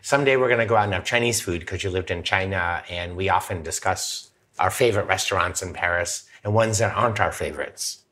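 An American man hosting a relaxed podcast chat at a cider bar, joking and a little tipsy. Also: low voice, fairly quick, few pauses. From San Francisco. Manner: chatty, joking. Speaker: podcast host. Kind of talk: casual tasting chat.

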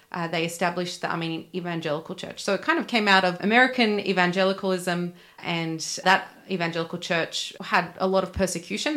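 Earnest young woman, low voice. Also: a bit bright. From Australia. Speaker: guest. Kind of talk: podcast interview.

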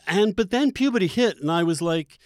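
A man telling personal stories in conversation, halting yet animated, with casual swearing. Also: sits high, no room sound, clean studio sound.

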